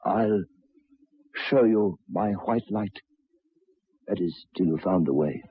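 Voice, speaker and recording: An actor playing a troubled, educated railway signalman in an old radio drama, speaking haltingly and repeating his words. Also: deep voice, husky, heavily acted. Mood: uneasy, intense. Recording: tinny, band-limited old radio recording.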